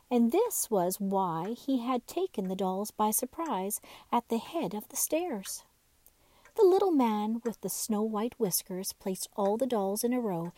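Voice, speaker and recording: A woman reading a children's bedtime story aloud in expressive, scripted narration. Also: on the high side, no room sound. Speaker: storybook reader.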